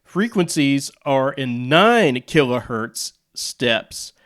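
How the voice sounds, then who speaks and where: A man's voice: mid-range voice, expressive, slow pace, fairly bright tone. An American man explaining things casually and off the cuff, with rambling, conversational asides. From United States. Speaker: video host, radio hobbyist.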